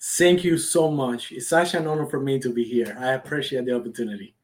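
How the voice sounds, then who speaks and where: A man's voice: medium pitch, steady tone, fairly quick. A man from Cuba with a Cuban accent, a native Spanish speaker, answering interview questions with earnest enthusiasm. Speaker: guest, startup founder.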